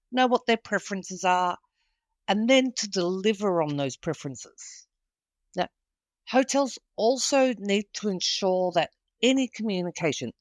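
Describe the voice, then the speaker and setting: A woman's voice: fairly low pitch, no room sound. A woman with an Australian accent, a guest on a business podcast, answering in a confident, businesslike manner. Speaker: guest, hospitality executive. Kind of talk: interview answers.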